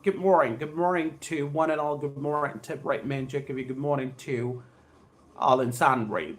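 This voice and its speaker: A man's voice: mid-range voice, a little hiss or hum. South Indian man, formal live English trainer. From Andhra Pradesh, India. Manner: effusive, formal, repeats phrases and stock idioms. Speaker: spoken English trainer hosting a live stream. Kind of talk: live English lesson, reading aloud and addressing viewers.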